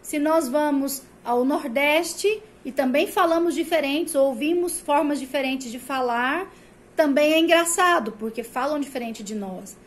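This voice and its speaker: A woman's voice: high voice, measured pace. A woman from Brazil with a Brazilian Portuguese accent that pulls the R, giving a recorded prep-course lecture in an explanatory way, full of rhetorical questions and "né?" tags. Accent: Brazilian Portuguese, rolled R of the interior. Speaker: Portuguese teacher.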